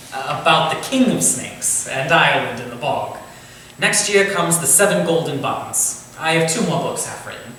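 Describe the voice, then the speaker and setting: An actor playing a genial, courtly Victorian gentleman writer with a formal, eloquent, theatrical way of talking, in a staged reading. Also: fairly high pitch, animated, moderate pace. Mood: musing. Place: indoor staged reading, distant microphone. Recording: very echoey room from far off, faint noise.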